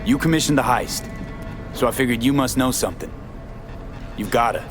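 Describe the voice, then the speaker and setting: Male actor as a streetwise video game mercenary, American accent, medium pitch, terse and cynical, music behind. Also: expressive, normal speed, close to an everyday voice. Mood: wary, terse.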